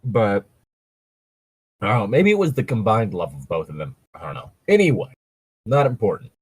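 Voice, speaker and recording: A man co-hosting a casual comedic fan fiction reading, joking crudely and swearing in an animated way. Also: lowish voice, moderate pace, husky, no room sound, low-quality recording.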